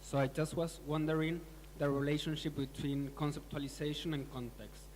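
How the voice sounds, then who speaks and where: A man's voice: fairly high pitch, flat delivery, moderate pace, darkish tone. A man in the audience tentatively asking a lecturer a question. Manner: tentative, wondering aloud. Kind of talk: live public Q&A. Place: large lecture hall. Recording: reverberant hall with faint hum.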